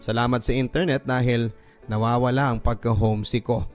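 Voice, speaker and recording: A man's voice: medium pitch, fairly even intonation, fairly quick. Filipino man narrating drama over music. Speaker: radio drama host reading a listener's letter. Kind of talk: dramatic first-person scripted narration. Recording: music bed behind the voice.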